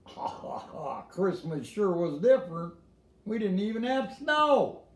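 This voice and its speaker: Older texas grandpa type guy reading a rhyming story, kinda high voice, echoey room. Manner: folksy, animated, pausing for asides to a listener. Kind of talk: expressive storybook reading in verse with casual asides.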